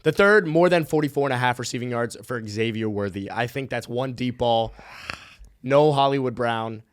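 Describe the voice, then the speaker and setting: A man with a hoarse, worn voice co-hosting a casual sports podcast, excitedly pitching bold predictions and bets. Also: normal speed. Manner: excitable, pushes hot takes and ad reads. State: hoarse, losing his voice. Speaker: podcast co-host.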